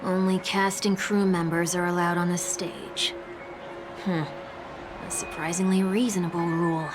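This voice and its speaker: A woman's voice: on the low side, little pitch movement, normal speed, lightly acted. A young woman voicing a wry, sardonic adventurer in a video game, with a dry, teasing delivery. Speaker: game character. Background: game background music.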